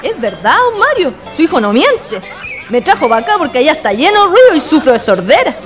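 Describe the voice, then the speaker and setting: Radio drama role: coaxing Chilean country girlfriend, very high, lively swoops, coy and cooing. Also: fast, music in the background. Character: coy, coaxing young sweetheart girl.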